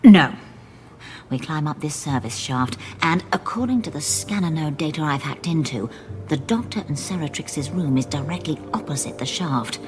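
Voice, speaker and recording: Bossy tech expert, confident, brisk, low voice, faint music, audio drama. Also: female voice, animated. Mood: commanding.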